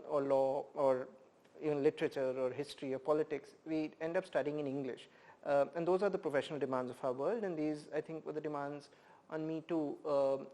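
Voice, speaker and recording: A man from India, a poet and professor, speaking as an interview guest in a thoughtful, measured way, often pausing with "uh" as he gathers his thoughts. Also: a little high, mellow. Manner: thoughtful, measured, many "uh" pauses. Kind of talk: reflective conversational interview.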